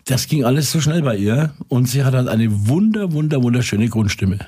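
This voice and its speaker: A husky older man with a Franconian accent chats in a radio interview. He tells lively anecdotes, halting often on fillers, at a middle pitch. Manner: lively storyteller with many fillers. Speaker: musician and former pub owner. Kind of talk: casual radio interview talk.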